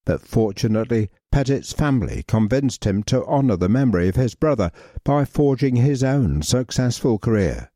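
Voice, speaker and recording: A man reading a football history article aloud in a measured, even way. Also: mid-range voice, dry recording, clean studio sound. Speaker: reader.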